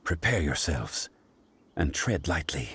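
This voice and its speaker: An actor playing a dignified, scholarly gentleman in a video game, formal, measured and quietly commanding. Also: extremely low pitch, sing-song, husky, heavily acted. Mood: grave, commanding. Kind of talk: video game character acting.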